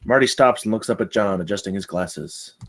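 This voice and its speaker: A man's voice: fairly low pitch, a little fast, a bit bright. A man reading stage directions as the narrator of a screenplay table read.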